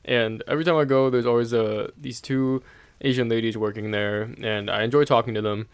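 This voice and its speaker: A man's voice: medium pitch, steady tone, brisk, clean tone, no room sound. A young man from the United States giving a casual, rambling opinion monologue, thinking aloud and chuckling often mid-sentence. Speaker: vlogger sharing opinions.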